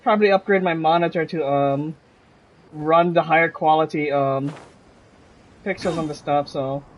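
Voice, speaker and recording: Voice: medium pitch, steady tone, moderate pace, dull audio. A game streamer giving casual, off-the-cuff commentary during a livestream.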